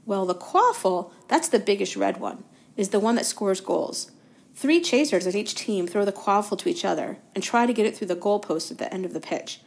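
A woman's voice: medium pitch, expressive, normal speed, gravelly. A woman voicing a tired teenage boy wizard who explains things in a weary, resigned way.

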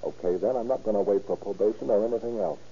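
A man's voice: lowish voice, fast talker, dark tone, husky. An actor playing a cocky, scheming American convict with pushy, slangy tough-guy talk in an old radio crime drama. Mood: scheming, persuasive. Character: cocky, scheming male convict plotting a jailbreak. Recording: dull, noisy old radio recording.

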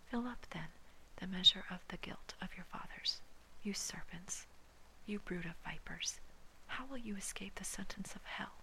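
Woman from Hawaii, whispered scripture reading and commentary, slow and hushed, gritty fry, crisp bright tone. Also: mid-range voice, slight background noise, poor audio.

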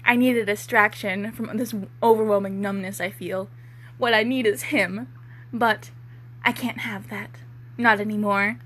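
A woman's voice: medium pitch, steady tone, measured pace, a bit bright. A young woman giving an amateur dramatic reading of fiction, with casual, giggly, self-mocking banter. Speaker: co-host, author reading own fan fiction.

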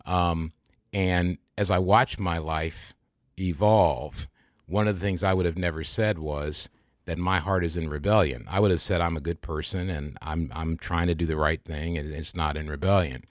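Older man, American accent, low-pitched, dry close sound, halting delivery, reflective faith talk, podcast host. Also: fairly even intonation, no room sound. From American Midwest. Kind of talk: reflective religious talk to a small group.

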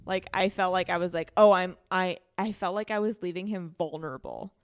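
A woman's voice: fairly low pitch, fairly quick, clear, resonant tone, no room sound. A woman guest on a casual podcast, talking reflectively and informally about a game and voicing her own thoughts.

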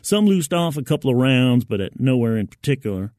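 A man reads dramatic first-person fiction in a medium-pitched voice. His delivery is colloquial and gritty, as if living the tale. The sound is very dry, with no room to it. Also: very animated, a little fast, warm tone, no room sound. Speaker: narrator.

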